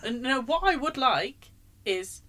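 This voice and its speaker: Loud, teasing woman. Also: sits high, animated, measured pace. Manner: laughs often, teasing. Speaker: podcast co-host. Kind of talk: casual chat.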